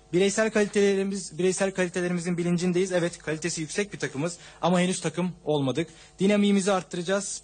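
A man's voice: on the high side, slightly rough. A man interpreting a football coach's long answer at a press conference, talking steadily through a table microphone.

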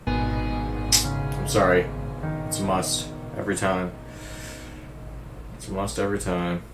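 A young man with a naturally deep, raspy voice, hosting a casual music reaction, laid-back and swearing freely as he praises a singer. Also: monotone, slow, roomy sound. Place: indoor room at a streaming desk.

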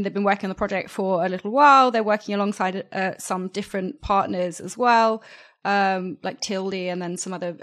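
Young woman, podcast co-host, chatty and upbeat, mid-pitched voice, close studio mic. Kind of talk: casual news roundup chat. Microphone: studio microphone with headphones.

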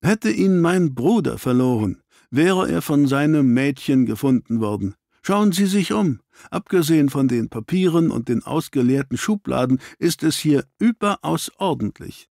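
A man voicing a brisk, raised-pitch gentleman detective. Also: expressive, vocal fry, no room sound, studio quality. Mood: matter-of-fact. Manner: precise, deductive, clipped statements. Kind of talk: dramatised audiobook reading.

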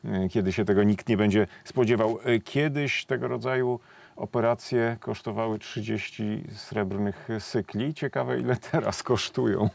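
A man hosting a television studio interview, speaking in a measured, rhetorical way. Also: on the low side, steady tone, gravelly, a little mellow. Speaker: TV host.

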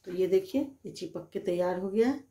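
A woman's voice: on the low side, a little fast, warm tone. A woman hosting a home cooking channel, explaining each step plainly in her kitchen. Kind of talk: home cooking demonstration voice-over.

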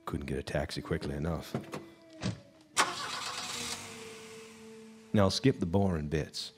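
A man's voice: extremely low pitch, a little fast, over loud music. An actor playing a bitter, haunted, hard-drinking guitarist, telling his tale in a brooding, theatrical noir radio drama style. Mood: weary, bitter. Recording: live stage recording with background noise.

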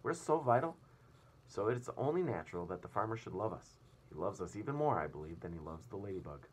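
A man's voice: low voice, big pitch swings, fairly quick, a little mellow, breathy edge, exaggerated voice. A man voicing a self-important storybook earthworm, boastful and emphatic.

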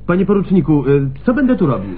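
A man's voice: sits high, wildly swooping pitch, fast, breathy edge, faint music behind, exaggerated voice. An actor playing an eager, nervous young male police trainee in a radio play, hesitant and deferential. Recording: narrow, phone-like radio recording with hiss.

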